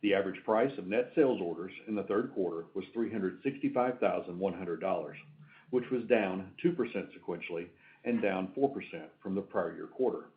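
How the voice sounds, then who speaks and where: A man's voice: sits low, brisk. A man delivering prepared financial figures as a company executive on an earnings call. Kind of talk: scripted financial presentation. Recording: tinny phone-like conference line.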